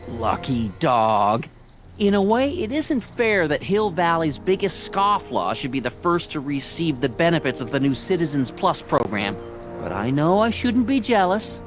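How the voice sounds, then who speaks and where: A man's voice: on the high side, very animated, a little slow, music in the background. An actor playing a meek, middle-aged American small-town father in a video game, earnest, folksy and prim. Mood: wistful. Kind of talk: animated video game dialogue acting.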